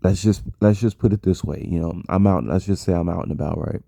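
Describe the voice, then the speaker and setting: Very deep, gravelly American man. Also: a little fast, warm tone, no room sound, clean studio sound. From United States. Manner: rambling, repeats phrases for emphasis. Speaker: vlogger. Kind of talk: casual personal monologue to an audience.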